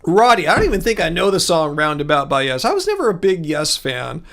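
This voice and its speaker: A man with a Californian accent and a fairly high voice hosts a livestream. He talks in a loose, easygoing way, drifting between thoughts as he answers comments. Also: big pitch swings, a little fast, gravelly, fairly bright tone. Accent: Californian American. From California, United States. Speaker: livestream host. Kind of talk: casual livestream chat, replying to viewer comments.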